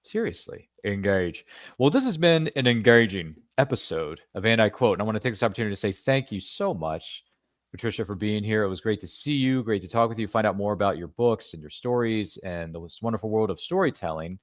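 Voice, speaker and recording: Male indie podcast host, quick-talking and chatty, dry close sound. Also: on the low side, expressive, no room sound. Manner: upbeat, rambling, self-deprecating. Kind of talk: casual show wrap-up and plugs.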